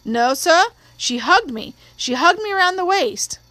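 A woman acts out a young working man on trial in an audiobook. He is scared but deferential, speaking in a high, halting, earnest voice, recorded dry and close. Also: animated, pushed and bright, no echo, full character voice. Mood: anxious, earnest.

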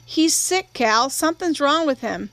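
A woman's voice: high-pitched, expressive, measured pace, a bit bright, no room sound, full character voice. A woman voicing a worried, earnest young boy in an audiobook, with Southern country dialect.

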